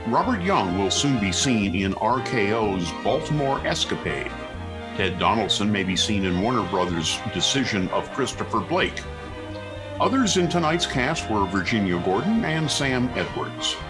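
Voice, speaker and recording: A low-voiced American man announces an old-time radio show over music. His scripted delivery is lively and rolls up and down in pitch. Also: normal speed.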